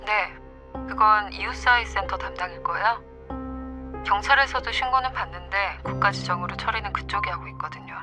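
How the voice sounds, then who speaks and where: A woman's voice: medium pitch, fairly quick, natural voice. A woman acting a composed police inspector in an audio drama, formal and matter-of-fact. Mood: composed, official. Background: background music.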